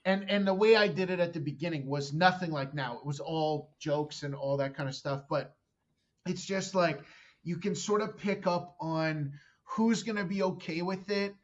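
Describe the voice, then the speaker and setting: A man's voice: fairly high pitch, normal speed, highs cut off. A man in his thirties, a comedian guest telling a long story on a podcast, rambling and swearing and cutting himself off mid-sentence. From Canada. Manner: rambling, profane, self-interrupting storyteller.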